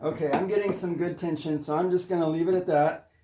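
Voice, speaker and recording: A man's voice: a little high, fairly even intonation, fast. A man giving a careful, step-by-step hands-on demonstration, explaining as he goes in an unscripted way. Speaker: presenter demonstrating an antique gramophone. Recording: roomy echo, faint noise and clicks.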